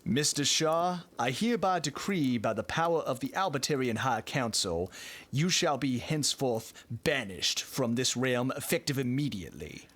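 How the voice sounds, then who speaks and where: A man playing a stern, formal high councilman of a dream realm in an audio drama, speaking in a measured, official way. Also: lively intonation, fairly bright tone. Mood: solemn decree.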